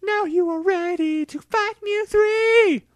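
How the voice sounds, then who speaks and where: A man voicing a solemn psychic creature in a strained falsetto, drawing out his words, as in a read-aloud fan story. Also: no room sound. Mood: urgent. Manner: slow, drawn-out speech. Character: Mewtwo, solemn psychic creature, former enemy of humans.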